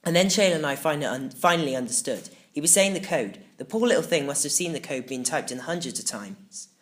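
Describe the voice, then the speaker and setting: Very animated man narrating a children's story. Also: a little high, fairly quick. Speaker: audiobook narrator. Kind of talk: scripted first-person children's story narration.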